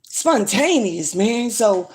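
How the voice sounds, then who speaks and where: A woman's voice: mid-range voice, big pitch swings, slow pace, a little mellow. A woman, ranting bluntly and swearing freely in a casual livestream. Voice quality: strong voice. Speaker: livestream vlogger. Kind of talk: casual rant to camera.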